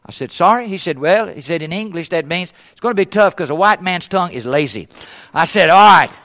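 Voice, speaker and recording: Man, missionary preacher raised in East Africa, fairly high voice; emphatic sermon delivery that sinks to a hush. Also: animated, fairly quick. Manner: emphatic, trails off to a hush at phrase ends; asks rhetorical questions. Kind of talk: sermon at a conference.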